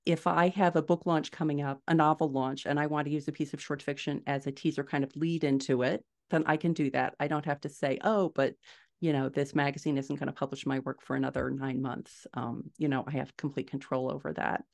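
A middle-aged woman with a low voice speaks as a guest in a conversational interview. She explains her points step by step and leans on the key words. She is recorded over a video call from a room at home. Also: little pitch movement, brisk, vocal fry, a little mellow. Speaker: podcast guest, indie fiction author. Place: small furnished room at home, indoors.

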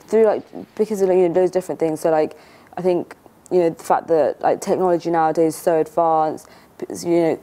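A woman's voice: low-pitched, warm tone. A young woman student answers interview questions outdoors, hesitant and full of fillers. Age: 20s. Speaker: student and cadet leader. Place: outdoors on a campus.

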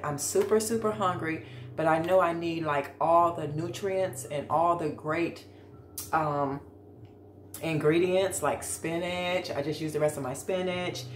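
The voice is a middle-aged American woman's, pitched a little low. She talks casually and directly to viewers as she hosts a home cooking vlog. The kitchen sounds clearly echoey, with slight noise behind her. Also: measured pace. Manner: informal, chatty, addressing viewers directly. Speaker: vlog host. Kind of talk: casual cook-along vlog. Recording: echoey room with background noise.

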